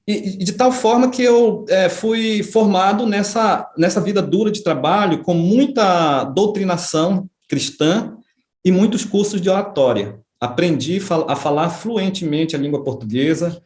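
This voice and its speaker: A middle-aged man from Brazil recounts his past at an unhurried pace, often checking in with short tag questions. His voice sits a little high for a man. The recording carries a noticeable room echo. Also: darkish tone. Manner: unhurried, with frequent tag questions and small restarts. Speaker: interviewee, writer and history professor. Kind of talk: reflective personal storytelling. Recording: echoey room.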